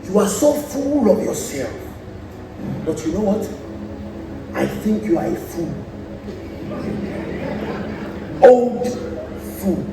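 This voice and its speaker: A man's voice: sits high, very animated, slow, gravelly, pauses often, heavily acted. A young man with a Nigerian accent acting a proud, defiant defender of tradition on stage. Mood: bitter, scornful. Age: young adult. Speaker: student stage actor. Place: theatre stage, recorded from afar. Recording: distant, echoey theatre with noise.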